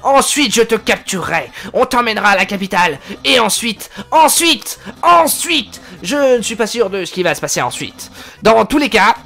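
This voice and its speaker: A man voicing a lanky, boastful male skeleton who shouts and stammers with excitement while reading game dialogue aloud. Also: high-pitched, normal speed, gravelly, full character voice. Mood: grandiose.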